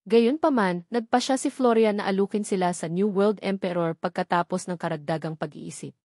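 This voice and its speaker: A woman's voice: medium pitch, a little mellow, dry recording, clean studio sound. A woman reading a story aloud as a scripted audiobook narration.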